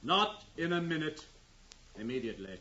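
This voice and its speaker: A man's voice: on the high side, sing-song, normal speed. An actor playing an imperious, impatient man in his twenties, clipped and commanding, in a 1950s radio drama. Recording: dull, hissy 1950s radio recording.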